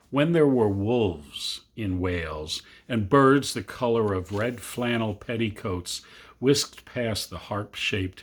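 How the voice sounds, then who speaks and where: A man reading a literary story aloud to children, with dramatic, deliberate phrasing and long pauses. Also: mid-range voice. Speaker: reader. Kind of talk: expressive storybook narration for children.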